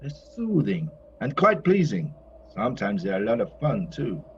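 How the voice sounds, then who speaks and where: A man's voice: mid-range voice, huge pitch swings, moderate pace. Guy playing grumbly self-satisfied old artist, audio drama, dull home recording. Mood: genial, self-important. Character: old Flemish master painter, jovial, fussy, easily irritated. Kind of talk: audio play acting. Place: recorded at home during lockdown. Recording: muffled, noisy home recording.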